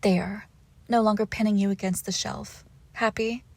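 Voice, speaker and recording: Breathy, teasing vampire girlfriend acted by a woman. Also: medium pitch, fairly even intonation, darkish tone, no room sound, natural voice. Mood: playful, haughty. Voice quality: breathy, often dropping into whispers. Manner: teasing, haughty, slow and deliberate. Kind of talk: intimate romantic audio roleplay, often whispered.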